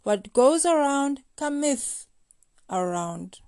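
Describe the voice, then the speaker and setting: High-voiced woman recapping reality TV. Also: lively intonation, slow pace, a little mellow, no echo, faint music behind. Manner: playful, gossipy commentary with slang. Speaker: host of a reality TV recap show. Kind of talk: scripted recap narration.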